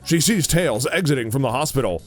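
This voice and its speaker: A man's voice: medium pitch, expressive, fairly quick, music in the background. A man reading scripted narration for an audio drama.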